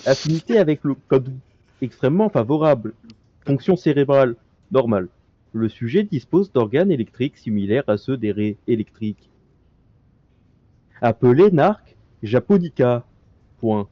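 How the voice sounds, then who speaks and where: A man's voice: mid-range voice, lively intonation, moderate pace, long pauses, dry recording, dull audio. A male streamer reading in-game documents aloud during a co-op session, stumbling over words and breaking off into joking asides.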